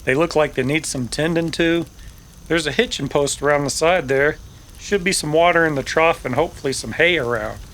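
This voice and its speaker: A man's voice: a little high, a little fast, fairly bright tone, lightly acted. A man playing an easygoing but guarded cowboy rancher with laconic, folksy phrasing in a Western radio drama. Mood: gently directing.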